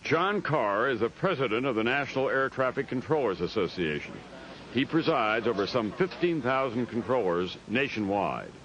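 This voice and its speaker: A man's voice: mid-range voice, sing-song, normal speed, fairly bright tone. A man narrating a news documentary in a scripted reporter's style. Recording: dull lo-fi audio, faint noise.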